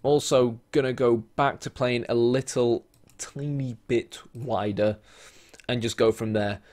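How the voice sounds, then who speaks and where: A man, losing his voice, casually talking through a football management game, thinking aloud with lots of fillers and bursts of excited play-by-play. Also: mid-range voice, a little slow. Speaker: gaming YouTuber.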